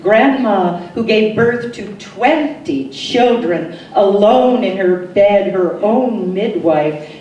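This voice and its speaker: A woman poet reading her own work as a dramatic recitation, solemn and deliberate, with emphatic phrasing and pauses. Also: mid-range voice, expressive, a little mellow, lo-fi sound. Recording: echoey, distant mic, noisy room.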